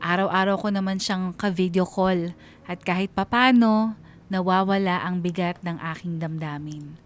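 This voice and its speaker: A woman's voice: on the low side, normal speed, lightly acted. An actress playing a troubled married woman who confides in first-person narration for a radio drama, in a reflective manner. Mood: frustrated, reflective.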